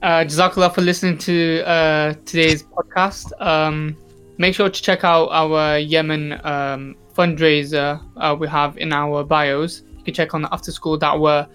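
Young male co-host, fairly high smooth voice, informal and slightly hesitant announcements. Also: little pitch movement, a little slow, fairly bright tone, soft background music. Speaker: podcast co-host. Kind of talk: casual unscripted podcast announcement.

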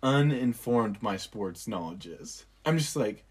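A young man co-hosting a casual podcast, animated and quick to laugh, with strong emphasis. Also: on the low side, breathy edge. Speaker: podcast co-host. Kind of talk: casual chat.

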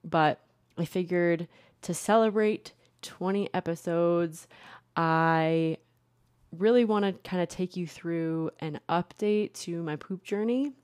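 A young woman talks through her own podcast in a low, unhurried voice. She's chatty and a little self-mocking, circling back with fillers and restarts. The sound is close and dry, with no room around it. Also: darkish tone, smooth, no echo. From Connecticut. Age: young adult. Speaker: podcast host, therapist. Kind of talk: casual solo podcast talk.

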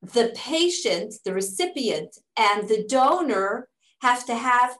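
A woman's voice: on the high side, expressive, slow, fairly bright tone, echoey room. A middle-aged woman, a native English speaker living in Israel, explaining her charity's work in an interview with earnest, step-by-step answers and frequent restarts. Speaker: charity fundraising director.

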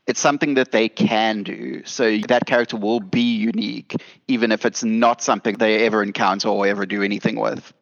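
A man with a lowish voice talks through his views plainly and matter-of-factly. His audio sounds somewhat dull and lo-fi, like a streamed call. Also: fairly even intonation, fairly quick, gravelly, hardly any pauses, fairly bright tone. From Durban. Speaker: panelist.

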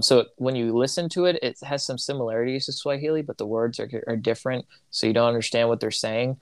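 Man raised in Tanzania, missionary; reflective, self-correcting delivery, average pitch, dry close recording. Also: no echo. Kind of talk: casual conversation.

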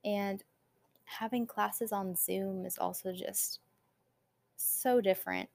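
Young woman, college student, podcast host, casual solo chat, quiet voice, medium pitch. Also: fairly even intonation, gravelly. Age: young adult. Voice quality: naturally quiet voice. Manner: chatty, upbeat, quick asides with light laughs.

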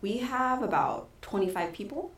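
A woman who coaches and promotes boxing, telling her story in a soft-spoken, casual way. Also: medium pitch, sing-song, moderate pace. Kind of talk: casual interview answers. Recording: echoey room.

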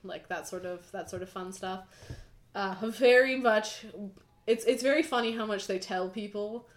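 A woman's voice: mid-range voice, normal speed, reverberant. A young woman in her twenties, chatting casually and self-mockingly as a podcast co-host, with many fillers. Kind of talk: casual chat.

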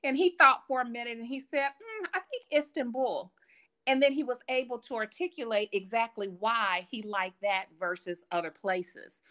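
A woman's voice: a little high, normal speed, fairly bright tone. Woman from Kansas City telling travel stories. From Kansas City, Missouri. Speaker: guest. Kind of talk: casual interview chat.

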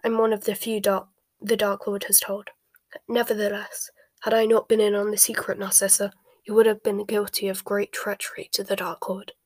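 Woman reading as a cold, flat-voiced male spy. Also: no echo, close to an everyday voice. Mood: cool, controlled. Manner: flat, low, measured. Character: cold, controlled male spy, grave and curt.

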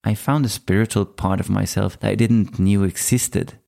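A Danish-accented man in his forties speaks in a low, slightly gravelly voice. He hosts his own podcast and tells his story at a calm, measured, reflective pace. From Denmark. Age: 40s. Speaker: podcast host. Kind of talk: scripted solo podcast monologue.

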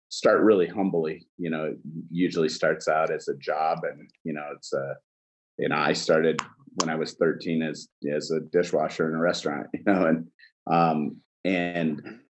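A man from Egypt, a chef, telling his backstory in a casual interview, halting with many fillers. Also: low voice. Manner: halting, many fillers like "you know", laughs often. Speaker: chef, guest.

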